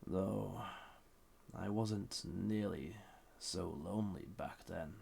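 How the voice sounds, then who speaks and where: A man playing a lonely, flirty banished villain, an ancient living weapon, in an intimate audio roleplay with a breathy, gravelly voice and dramatic pauses. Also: deep voice, a little hiss or hum, exaggerated voice. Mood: wistful, regretful. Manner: hesitant, soft-spoken, intimate, dramatic pauses.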